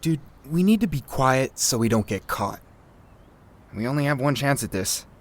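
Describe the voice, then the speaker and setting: A man's voice: medium pitch, expressive, normal speed, lightly acted. A man playing a fussy, exasperated podcast sound technician in naturalistic audio drama dialogue, informal and nagging.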